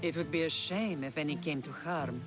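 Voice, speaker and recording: A woman's voice: low-pitched, moderate pace, music in the background, close to an everyday voice. A woman playing a fierce, unforgiving middle-aged warrior mother in a video game, firm and resolute. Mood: steely. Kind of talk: dramatic video game acting.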